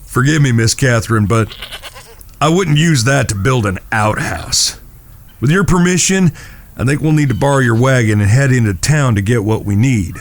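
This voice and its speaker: A man playing gravelly, courteous Southern cowboy Phil. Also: medium pitch, moderate pace, natural voice. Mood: practical, courteous. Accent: Southern American. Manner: folksy, polite. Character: Phil, earnest, courteous, protective Old West outlaw cowhand. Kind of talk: dramatic Western audio drama acting.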